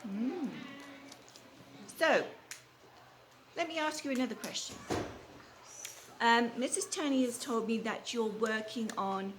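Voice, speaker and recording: A woman reading a storybook aloud and questioning young children in a teacherly way, repeating answers back. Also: a little high. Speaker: read-aloud storyteller leading a children's class. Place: classroom or school room with children. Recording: noisy live room with background noise.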